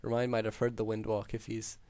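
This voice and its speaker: Flat-voiced man calling a strategy match. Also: fairly low pitch, brisk, no echo. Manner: steady, filler-heavy analytical play-by-play. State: hoarse. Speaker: game caster. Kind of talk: solo esports play-by-play commentary.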